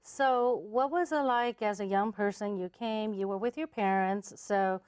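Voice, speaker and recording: A woman's voice: mid-range voice, measured pace, a little mellow, clean tone. A woman hosting a conversational interview, listening attentively and putting in short acknowledgements between her questions. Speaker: talk show host, interviewer.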